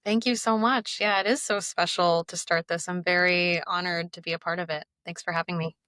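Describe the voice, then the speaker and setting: A woman's voice: on the low side, fairly even intonation, a little fast, pushed and bright, dry recording. A woman in her thirties from the United States and China, telling her own story casually and upbeat as a podcast guest. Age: 30s. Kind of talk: conversational personal storytelling.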